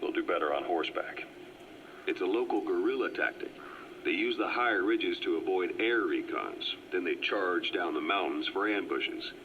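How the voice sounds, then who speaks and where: An actor playing a calm, well-informed agent who briefs a comrade in a measured, explanatory way. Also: male voice, low voice, a little hiss or hum. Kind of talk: video game cutscene voice acting. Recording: muffled cassette tape audio.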